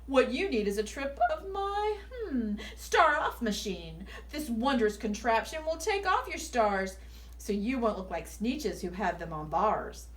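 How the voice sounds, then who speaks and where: A woman voicing a sly, slick male fix-it salesman from a children's storybook, with a wheedling, drawn-out sales pitch. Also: big pitch swings. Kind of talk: animated children's storybook read-aloud.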